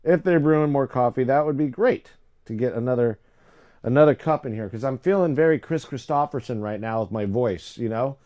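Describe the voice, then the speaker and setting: A young adult man hosting a livestream, chatting casually and rambling with lots of fillers and restarts, his voice low today. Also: fairly quick, highs cut off. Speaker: live stream host.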